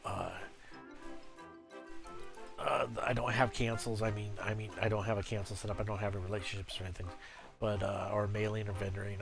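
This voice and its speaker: Brief: low-voiced man, congested from a cold, hesitant tutorial delivery, music underneath. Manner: halting, many uhs and restarts. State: stuffy from a cold. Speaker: game guide creator. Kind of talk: casual screen-recorded tutorial.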